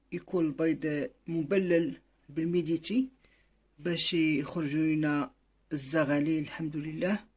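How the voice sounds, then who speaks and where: A man with a Moroccan Arabic accent, giving casual how-to commentary to viewers in a bird-keeping video. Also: a little high. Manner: quick, repetitive, addresses viewers as brothers.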